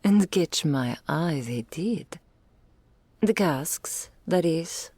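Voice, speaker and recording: A woman's voice: sits low, animated, drawn-out words, dark tone, slightly rough, no room sound, full character voice. A woman voicing a doting, regal female dragon in human form, speaking intimately to the listener in ornate, archaic phrases as an ASMR roleplay. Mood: proud, amused.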